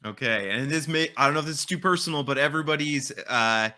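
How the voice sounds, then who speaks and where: A man's voice: fairly high pitch, fast talker, bright, forceful tone. A man hosting a livestreamed interview, asking casual, hesitant questions. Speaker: livestream host.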